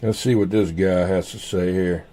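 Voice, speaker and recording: A man hosting a casual livestream, rambling in an unhurried way and half muttering to himself as he goes. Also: low voice, fairly even intonation, warm tone, slight background noise. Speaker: livestream host.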